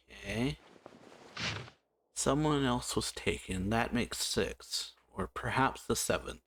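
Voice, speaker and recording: Gravelly, musing gameplay host. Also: male voice, medium pitch, a bit bright, a little hiss or hum. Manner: slow, musing, reads text aloud with frequent hums. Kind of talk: casual let's-play commentary, reading game text aloud.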